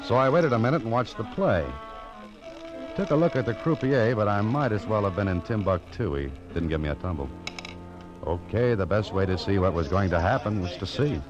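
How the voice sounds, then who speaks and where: An actor playing a world-weary, wry American private detective in a deadpan radio noir style. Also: male voice, lowish voice, a little fast, over music, natural voice. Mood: wry suspense. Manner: dry, deadpan, wisecracking. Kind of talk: 1940s-50s radio noir narration and dialogue. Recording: muffled vintage radio recording.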